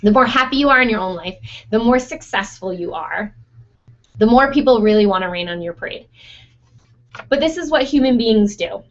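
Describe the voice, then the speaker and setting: A young woman who runs a photo studio, answering listener questions in a chatty, casual way with frequent fillers and laughs, her voice going hoarse. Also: a little high, animated, moderate pace, pauses often. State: losing her voice. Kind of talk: casual Q&A monologue. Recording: dull lo-fi sound.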